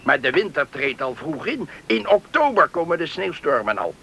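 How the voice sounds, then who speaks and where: Casting: polite, talkative outpost official, high bright voice, explanatory, radio drama with faint noise. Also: male voice, very animated, gravelly, lo-fi sound, heavily acted. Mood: helpful, explaining. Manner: explanatory, polite, brisk guide. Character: helpful, talkative male settlement official showing newcomers around. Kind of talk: Dutch radio play acting. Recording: old radio play, faint background noise.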